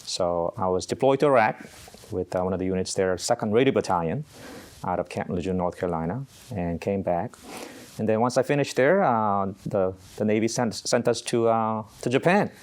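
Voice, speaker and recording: A middle-aged man from Korea, a Navy chaplain answering interview questions in an unhurried way, often pausing on uh. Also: on the low side, expressive. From South Korea. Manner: unhurried, halting with frequent uh pauses.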